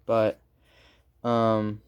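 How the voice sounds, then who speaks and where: A man giving a casual, unscripted how-to as a hobbyist repairer, halting and informal as he thinks aloud. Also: fairly low pitch, completely flat delivery, highs cut off, a little hiss or hum. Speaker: hobbyist electronics repair presenter.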